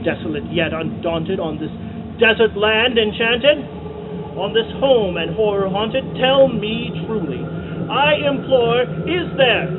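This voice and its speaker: Through a muffled, very noisy outdoor recording comes a man playing a grief-maddened narrator of gothic verse. He declaims theatrically and very slowly, with long pauses. The voice is pitched high, haunted and desperate. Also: expressive. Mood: desperate pleading. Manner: declamatory, long dramatic pauses, swelling to shrieks. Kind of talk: theatrical dramatic poetry recitation. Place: outdoors at night by a cornfield. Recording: muffled amateur outdoor recording, loud background noise.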